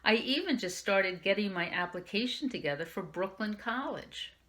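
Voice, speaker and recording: A woman's voice: medium pitch, lively intonation, moderate pace, bright, forceful tone. A woman librarian reading a young adult novel aloud in the first person, lifting her voice for the quoted lines. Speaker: youth services librarian.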